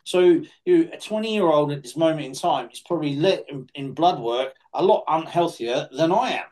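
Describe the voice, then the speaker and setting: A man, hosting a casual podcast chat and talking bluntly, with sudden lively jumps in pitch. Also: normal speed. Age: late 40s. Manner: blunt and swearing, animated rises in pitch. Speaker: podcast host.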